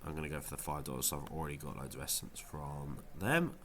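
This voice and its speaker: Low-voiced man with a nasal cold. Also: expressive, fast, fairly bright tone, a little hiss or hum. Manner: rambling, lots of ums and restarts. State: has a cold, sounds nasal. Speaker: fantasy football video creator. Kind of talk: casual gameweek review vlog.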